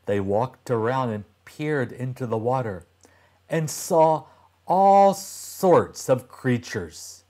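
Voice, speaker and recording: Very slow-talking older man reading aloud. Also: mid-range voice, lively intonation, mellow. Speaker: storybook reader for young children. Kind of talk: expressive read-aloud narration of a children's story.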